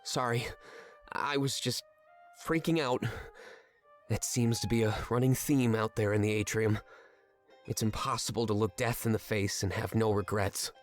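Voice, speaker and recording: A man's voice: fairly low pitch, fairly even intonation, normal speed, long pauses, faint music behind, close to an everyday voice. A man playing a reformed swindler in a fantasy drama, earnest, apologetic, remorseful and devoted to his monarch. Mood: resigned, humble. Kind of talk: fantasy audio drama acting.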